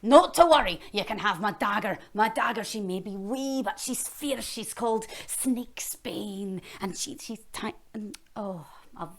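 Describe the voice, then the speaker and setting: A woman's voice: medium pitch, unhurried, few pauses. A woman voicing a forgetful old king in a told story. He rambles and boasts. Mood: blustering. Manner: rambling, boastful then sheepish. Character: bumbling forgetful old king, a doting father.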